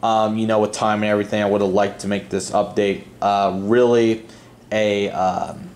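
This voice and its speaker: A man's voice: on the low side, flat delivery, moderate pace, a little mellow. A man hosting a hobby video channel, talking in a casual, earnest, rambling unscripted style. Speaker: host of a model airport video channel. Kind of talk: casual unscripted vlog voice-over.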